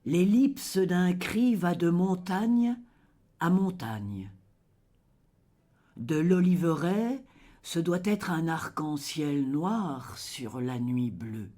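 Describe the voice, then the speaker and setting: A reader recites poetry at an extremely slow pace in a mid-range voice. The delivery is solemn and dramatic, each line carefully measured. Phrases often sink into a hush at their close. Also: medium pitch, expressive.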